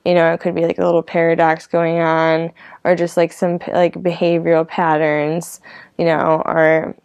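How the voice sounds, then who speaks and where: A woman with a fairly low voice and a strong vocal fry, recorded close and dry. She rambles casually like a vlogger sharing reflections, looping through asides and peppering her sentences with "like" and "you know". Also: monotone, moderate pace, a little mellow, dry recording. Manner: informal, meandering, filler-heavy with frequent "like" and "you know". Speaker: host of her own online show.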